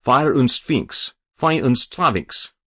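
A man voicing a fretful old caretaker with a Yiddish accent and drawn-out exclamations, in an audiobook. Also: medium pitch, animated, fairly bright tone, no echo, clean studio sound, voice pushed up, full character voice.

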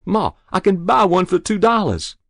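A man's voice: fairly high pitch, animated, moderate pace, darkish tone, no echo, studio quality, voice pushed up. A man voicing an eager, earnest teenage farm boy with a rural Southern US accent, pleading insistently in a story reading.

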